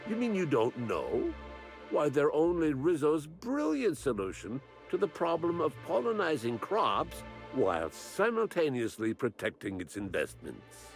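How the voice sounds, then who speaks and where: Crotchety old botanist, wildly animated and gravelly. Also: male voice, on the high side, a little slow, soft background music, full character voice. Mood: matter-of-fact, wry. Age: older. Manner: grumbling, rambling, theatrical. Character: crotchety, eccentric old botanist, rambling and morbidly blunt. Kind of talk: video game character acting.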